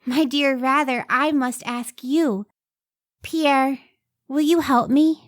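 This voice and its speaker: A woman's voice: high-pitched, steady tone, takes it slowly. A woman playing an earnest, modest scientist and devoted wife in an old-time radio drama, careful and emotive. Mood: tender, pleading.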